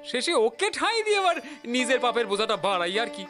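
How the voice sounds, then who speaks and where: A man's voice: very high-pitched, sing-song, a little fast, sharp tone, faint music behind, heavily acted. A man playing a haughty, sanctimonious village elder in an audio drama, preachy and full of indignant contempt. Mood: scornful. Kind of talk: dramatised audio story acting.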